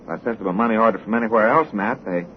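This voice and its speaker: An actor playing an earnest, gentle young American drifter in an old radio drama, speaking politely and expressively with an American accent. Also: male voice, on the low side, rapid-fire, lightly acted. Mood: wistful. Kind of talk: 1930s radio drama acting. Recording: muffled, noisy old radio recording.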